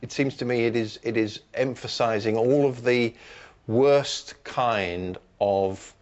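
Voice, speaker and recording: A man on a book discussion panel, giving criticism in measured, formal, deliberate phrases. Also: medium pitch, darkish tone, highs cut off.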